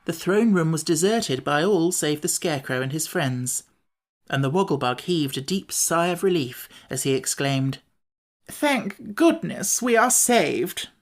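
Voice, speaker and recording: A woman narrating a classic children's storybook with a lively, expressive reading. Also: sits low, moderate pace. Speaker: audiobook narrator.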